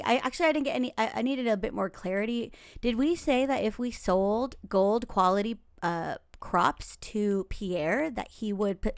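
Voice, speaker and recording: A woman in her forties, a game streamer from Los Angeles whose first language is Tagalog, chatting casually through gameplay in a rambling way, with fillers, soft laughs and whispered asides. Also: mid-range voice, gravelly, no room sound. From Los Angeles, United States.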